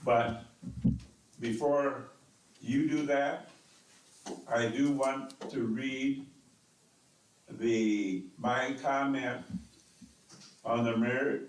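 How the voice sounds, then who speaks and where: A man's voice: mid-range voice, a little hiss or hum. A man serving as mayor with a strong, carrying voice, speaking insistently and repeating words as he defends himself. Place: city council chamber. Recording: echoey council chamber, distant mic.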